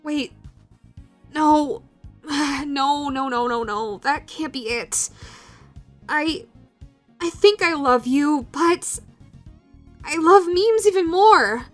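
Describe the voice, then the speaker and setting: A woman playing an awkward, self-deprecating young meme lover flustered by love, speaking haltingly and nervously in a romantic comedy audio roleplay. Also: high voice, soft background music. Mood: nervous, insecure.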